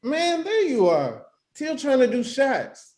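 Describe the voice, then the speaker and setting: A man's voice: extremely high pitch, huge pitch swings, slow, a little mellow, full character voice. A man acting an upbeat, joking best friend in a screenplay table read. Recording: roomy, echoey home recording.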